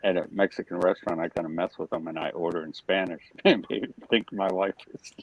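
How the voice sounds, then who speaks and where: Deep-voiced man asking relaxed questions. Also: a little fast, slightly rough, lo-fi sound. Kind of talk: casual chat.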